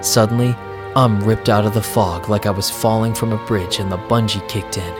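A man's voice: lowish voice, steady tone, normal speed, close to an everyday voice. An actor playing a weary, rattled vampire club DJ who narrates his night in a wry first-person audio drama style. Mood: tense, wry. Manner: wry, sardonic. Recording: music behind the voice.

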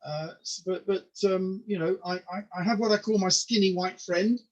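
A man's voice: high voice, fairly even intonation, moderate pace. Hesitant older man, dull lo-fi sound. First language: Polish. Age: 60s. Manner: hesitant, stacks of repeated words and fillers. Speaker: comedian guest. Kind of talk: casual interview talk.

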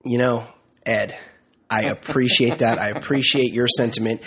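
A man's voice: mid-range voice, steady tone, moderate pace, a bit bright, husky. A man co-hosting a casual comedy podcast, nasal and congested from a cold, teasing his co-host in a dry mock-formal way. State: sick, stuffy and nasal.

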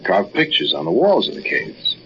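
A man's voice: fairly high pitch, huge pitch swings, moderate pace, bright, forceful tone, exaggerated voice. An actor playing a curious, cautious young man, musing casually in a 1940s radio drama. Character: curious, cautious young male travelling companion and storyteller. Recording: muffled, noisy 1940s radio recording.

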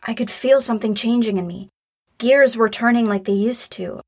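A woman's voice: fairly high pitch, normal speed, breathy edge. A woman acting a hurt, defiant young daughter in a naturalistic audio drama, guarded and curt in manner. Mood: confiding, troubled.

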